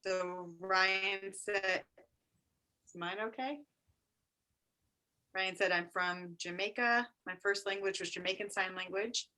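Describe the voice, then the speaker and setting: A woman working as an interpreter, quietly relaying a guest's answer in an even way. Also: mid-range voice, slow pace, pauses often.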